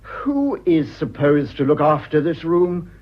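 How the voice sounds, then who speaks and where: A man's voice: on the high side, big pitch swings, measured pace. An actor playing a pompous, prim upper-class British husband in his thirties, in a radio drawing-room comedy. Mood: fussy, exasperated. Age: 30s. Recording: dull lo-fi old radio recording.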